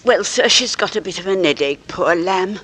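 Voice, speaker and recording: A fussy housekeeper. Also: female voice, lowish voice, expressive, normal speed, fairly bright tone, breathy edge. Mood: homely. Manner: homely, chatty, slightly defensive. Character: older female cook-housekeeper, fussy and motherly. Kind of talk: 1940s radio acting. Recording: old radio broadcast.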